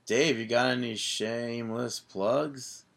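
Man with a sharp, bright voice, playful ribbing co-host on a casual podcast. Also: medium pitch, steady tone, slow, vocal fry, faint music behind. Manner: teasing, playful. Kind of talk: casual wrestling podcast chat.

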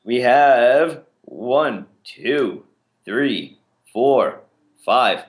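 A man's voice: medium pitch, very slow. Casual man in his mid range, easygoing podcast co-host, talks in fits and starts. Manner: relaxed, hesitant with fillers. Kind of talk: casual wrestling podcast chat.

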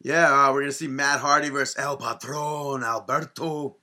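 A young man co-hosting a wrestling podcast in relaxed back-and-forth chat. His voice is bright and mid-pitched, and he teases his partner in a loose, conversational way full of fillers. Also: normal speed. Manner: teasing and conversational, with short reactions and many fillers. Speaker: podcast co-host.

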